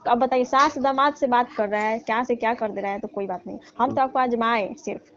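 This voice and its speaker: A woman plays a flirtatious middle-aged mother-in-law, coaxing and sly, her voice sitting fairly high. She keeps sinking toward hushed, whispery tones. It comes through a dull, lo-fi phone call recording. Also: a little fast, background noise, natural voice. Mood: defensive. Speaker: comedy call actor. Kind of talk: staged comic phone call.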